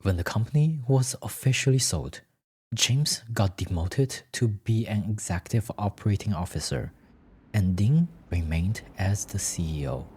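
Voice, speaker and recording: A man's voice: fairly low pitch, animated, moderate pace, mellow, breathy edge. A man reading scripted fiction as a story narrator.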